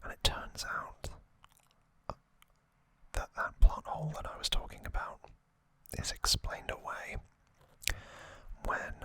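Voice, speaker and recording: A man hosting an ASMR video, giving a casual recap in a breathy, unvoiced whisper with a halting, intimate delivery. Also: bright, forceful tone, no echo, low-quality recording.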